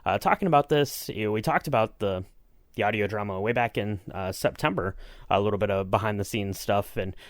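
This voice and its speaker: Male podcast co-host, lowish pitch, chatty and enthusiastic, dry studio-like sound. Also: fairly quick, dry recording. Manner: enthusiastic, rambling, conversational. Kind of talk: casual podcast chat.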